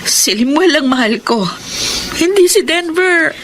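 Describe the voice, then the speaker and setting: An actress with a Filipino accent playing a loyal, worried, gentle wife with a sincere manner in a radio drama. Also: female voice, high voice, expressive, measured pace, a little hiss or hum. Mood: worried, firm.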